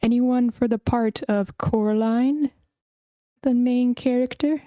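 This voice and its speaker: A woman's voice: fairly high pitch, steady tone, slow pace, warm tone, dry recording. A woman casually hosting an online table read, speaking informally and a little hesitantly, in an accommodating way, close to the microphone. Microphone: close microphone on an online voice call.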